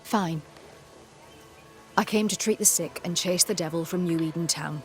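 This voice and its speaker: A woman's voice: on the low side, normal speed, darkish tone, soft background music, close to an everyday voice. A woman voicing a proud, pious colonial healer with a prim, curt and formal manner in a video game. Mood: guarded, proud.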